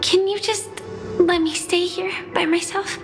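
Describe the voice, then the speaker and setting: A woman voicing a shy, gentle young woman in a game cutscene, soft-spoken and apologetic, at times nearly whispering. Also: very high voice, steady tone, measured pace. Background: game soundtrack music.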